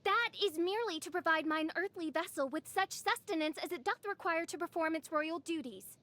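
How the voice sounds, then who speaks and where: A woman's voice: very high voice, a little fast, pushed and bright. A woman playing a haughty, theatrical young princess in a game, with grandiose, archaic speech. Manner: pompous, grandiose, archaic phrasing. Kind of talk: scripted game character lines.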